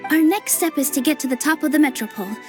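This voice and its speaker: Woman as high-voiced, polite young heroine. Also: fast, soft background music, natural voice. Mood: composed. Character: polite, friendly young female traveler heroine. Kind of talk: English video game voice acting.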